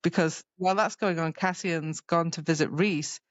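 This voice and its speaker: A woman with a fairly low voice talks as a podcast co-host in loose, informal book chat, dropping wry asides. The recording is dry and a little muffled, like a modest microphone. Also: fairly even intonation, normal speed, no echo. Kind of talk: casual book discussion chat. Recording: dull, slightly lo-fi microphone sound.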